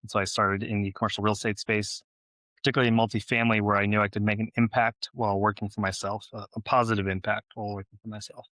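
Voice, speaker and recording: A man's voice: on the low side, flat delivery, quick pace, a bit bright, no echo. A man who grew up in Los Angeles, answering questions conversationally as an interview guest. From born in Ukraine, grew up in Los Angeles, United States. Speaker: podcast guest, real estate investor and former Navy officer.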